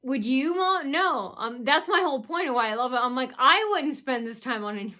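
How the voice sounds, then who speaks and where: A woman from the United States rambles through a casual vlog monologue, her voice bright and forceful and pitched a little high. She fills every gap with um and uh and keeps starting over with "so anyway," drifting from point to point. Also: animated, fairly quick. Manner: meandering, keeps restarting with "so anyway"; heavy fillers, um and uh. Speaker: vlogger.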